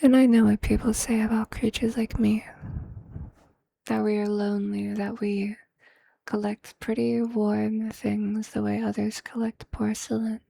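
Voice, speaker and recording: Casting: possessive, doting androgynous vampire played by a man for ASMR romance; heavy fry, unhurried delivery, seductive half-whisper. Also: high-pitched, level tone, heavily acted. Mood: possessive, intense. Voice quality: breathy, creaky, often whispered. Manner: slow, deliberate, hushed, seductive. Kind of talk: ASMR romantic roleplay, intimate scripted monologue.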